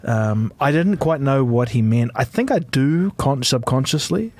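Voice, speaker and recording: Husky New podcast host. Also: male voice, medium pitch, expressive, measured pace, darkish tone, no room sound. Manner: hesitant, thinking aloud. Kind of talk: casual podcast chat.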